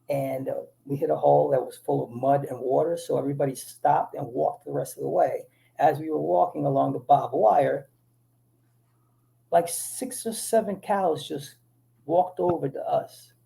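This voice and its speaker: Dominican-born actor guest, gravelly and slightly high voice, lively restart-filled anecdotes. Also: male voice, poor audio. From Dominican Republic. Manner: animated storytelling, many restarts. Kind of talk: casual interview talk.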